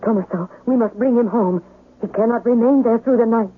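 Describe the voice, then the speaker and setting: An actress playing a devoted, cautious housemaid in a 1970s radio drama, deferential and earnest. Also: female voice, on the high side, fairly quick, breathy edge. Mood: worried. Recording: phone-like vintage radio.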